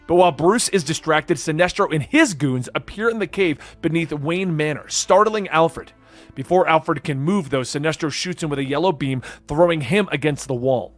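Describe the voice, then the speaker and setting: Male narrator, dramatic scripted comic-book storytelling, raised pitch, faint music bed. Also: normal speed.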